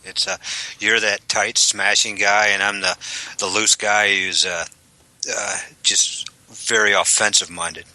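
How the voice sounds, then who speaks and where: A man's voice: fairly low pitch, little pitch movement, unhurried, sharp tone, dry recording, low-quality recording. A man co-hosting a casual podcast chat, joking in a self-deprecating way. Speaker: podcast co-host.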